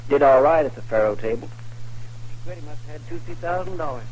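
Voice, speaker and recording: An actor playing a deferential frontier deputy with a folksy way of talking, in 1950s radio drama style. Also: male voice, a little high, a little fast, darkish tone, close to an everyday voice. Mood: earnest, deferential. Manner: folksy, eager to report. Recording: noisy old radio broadcast recording.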